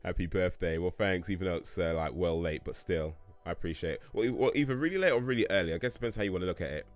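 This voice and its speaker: A man's voice: low voice, rapid-fire. A man in his late thirties hosting a casual livestream Q&A, rambling through chat questions with lots of fillers and restarts and frequent chuckles. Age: 30s.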